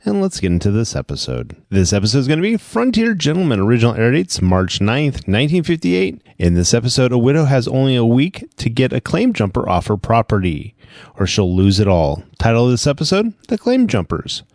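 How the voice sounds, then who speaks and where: A man's voice: mid-range voice, very animated, brisk, darkish tone, dry recording, clean studio sound. A man reading a scripted episode introduction as a show host. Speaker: podcast host.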